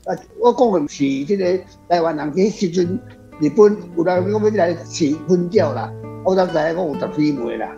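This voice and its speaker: High-pitched gravelly Taiwanese man. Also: lively intonation, normal speed, dark tone, muffled recording, soft background music. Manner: repetitive, rambling. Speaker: panel guest, author responding. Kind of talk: casual explanatory chat.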